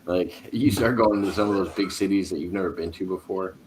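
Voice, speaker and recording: Deep-voiced man hosting a live stream. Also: fairly quick, background noise. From Chicago area. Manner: laid-back, reads chat comments aloud. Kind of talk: casual chat, greeting viewers.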